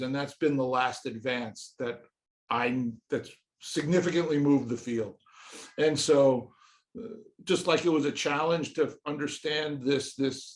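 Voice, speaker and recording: A man in his sixties, a professor, answering interview questions earnestly, often restarting his sentences as he searches for words, in an office. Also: a little high. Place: indoor office with shelves and pictures.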